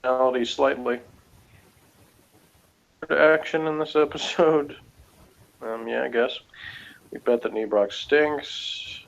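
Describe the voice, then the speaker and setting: A man with a mid-pitched voice talks casually as a review co-host. He rambles, breaking off into skeptical asides between bits he reads out. The recording is slightly dull and lo-fi. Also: fairly even intonation, slow pace, vocal fry, long pauses. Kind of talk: casual chat while reading out and reacting to review notes. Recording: dull, lo-fi sound.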